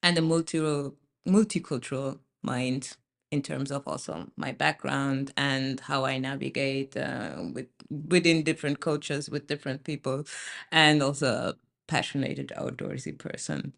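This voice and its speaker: Gravelly-voiced woman from Austria, thoughtful. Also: a bass voice, fairly even intonation, measured pace. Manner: thoughtful, many ums, searching for words. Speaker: podcast guest. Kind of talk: casual interview conversation.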